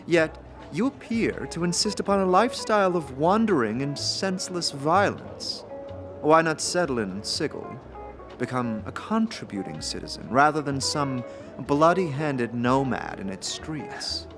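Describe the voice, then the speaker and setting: A man's voice: a little high, sing-song, unhurried, voice pushed up. A man voicing a stern, haughty older noblewoman with formal, imperious speech in a fantasy audio drama. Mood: stern, lecturing. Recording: music under the voice.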